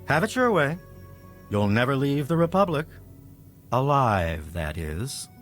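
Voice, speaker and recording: An actor playing a pompous, officious self-declared president in a video game, with a threatening manner. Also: male voice, mid-range voice, very animated, measured pace, fairly bright tone, soft background music. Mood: menacing. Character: pompous self-declared president of a tiny settlement, adult man. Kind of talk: video game character acting.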